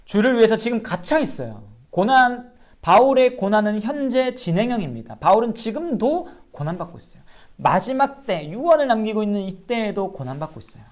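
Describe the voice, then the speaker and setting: A man, a pastor, giving an earnest sermon-style Bible lecture and asking his listeners rhetorical questions. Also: high voice. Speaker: pastor teaching a Bible class.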